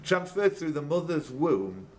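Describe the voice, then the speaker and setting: A man's voice: fairly high pitch, very animated, measured pace, darkish tone, a little hiss or hum. A man working as a quizmaster, reading questions live with informal teasing banter and stumbling self-corrections. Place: indoors at a pub quiz tournament.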